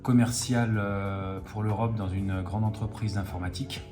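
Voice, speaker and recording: A man in his fifties giving an interview testimonial as a volunteer mentor, speaking in a halting way. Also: on the low side, fairly even intonation. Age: 50s. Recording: music behind the voice. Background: music.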